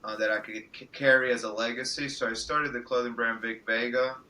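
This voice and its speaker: A man's voice: medium pitch, steady tone, a little fast, bright, forceful tone, a little hiss or hum. A man born in Mexico and raised in Mississippi, a guest on a podcast, talking about his journey in an earnest, reflective way. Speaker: guest entrepreneur. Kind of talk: podcast interview.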